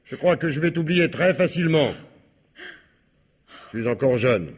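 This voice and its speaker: A man's voice: fairly high pitch, animated, moderate pace, natural voice. An actor playing a cold, sardonic man who gloats over his revenge in an old radio drama. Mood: coldly gloating. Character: cold vengeful man back from the dead. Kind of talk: 1960s French radio drama acting.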